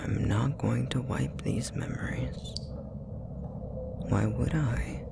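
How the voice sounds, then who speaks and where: A man voicing a possessive, manipulative demon in an intimate ASMR roleplay, whispering with a gravelly fry in a deliberate, taunting way. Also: medium pitch. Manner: slow, deliberate, taunting, condescending. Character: possessive, manipulative male puppetry demon, coldly menacing.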